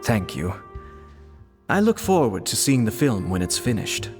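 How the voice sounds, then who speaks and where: An actor playing a composed, formal older brother and diplomat in a game, speaking calmly and politely. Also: male voice, fairly low pitch, a little slow, music in the background.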